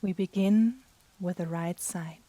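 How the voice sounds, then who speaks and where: A woman guiding a yoga nidra meditation in a soft, breathy, soothing manner with long pauses. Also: fairly low pitch, dry recording. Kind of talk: guided meditation.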